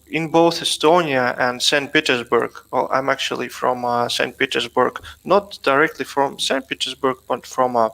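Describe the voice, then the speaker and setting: Bright-voiced man. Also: medium pitch, poor audio. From Russia. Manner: relaxed, dry humor, hesitant fillers. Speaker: guest, plugin developer. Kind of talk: casual video-call chat. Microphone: video call.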